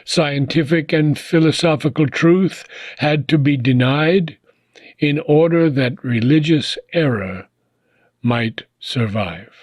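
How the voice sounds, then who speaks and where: A man reading a philosophical book aloud in a measured, solemn, deliberate manner, pausing often between phrases. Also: fairly high pitch, a little mellow, no room sound. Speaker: audiobook reader.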